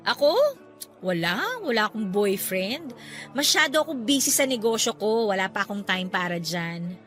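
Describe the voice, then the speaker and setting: A woman's voice: mid-range voice, animated, moderate pace, a bit bright, soft background music, lightly acted. A woman playing a playful, chatty young businesswoman meeting an old flame in an audio drama, with a teasing, exclaiming manner. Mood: light, chatty. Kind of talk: Filipino audio drama acting.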